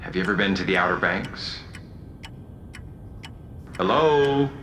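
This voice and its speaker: A man playing a cunning, taunting telepathic convict in an audio drama, with a deliberate, theatrical and mocking delivery. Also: sits low, a little hiss or hum. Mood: taunting, sly. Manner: theatrical, deliberate, mocking, swinging between calm and outburst. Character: cunning, taunting telepathic male convict pleading his appeal.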